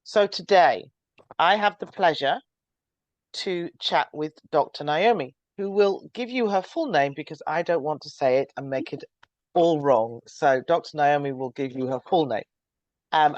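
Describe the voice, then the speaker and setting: A woman in her forties or older hosting a podcast, reading a measured, scripted introduction before interviewing a guest. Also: deep voice, a little mellow, no echo, dull audio. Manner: clear, measured, reads from a script. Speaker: podcast host, transfusion practitioner.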